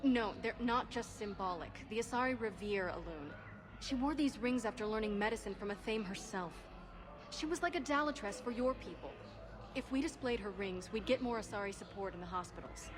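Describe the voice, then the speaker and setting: Actress as earnest, mid-pitched alien woman doctor. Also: fairly quick, over music, close to an everyday voice. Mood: concerned. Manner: earnest, explanatory. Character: concerned, persuasive alien woman doctor short of supplies. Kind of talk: video game character acting.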